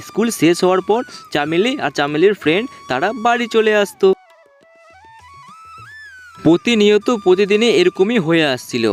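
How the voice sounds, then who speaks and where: Higher-pitched male ghost-story narrator. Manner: repetitive, simple spoken storytelling. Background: background music.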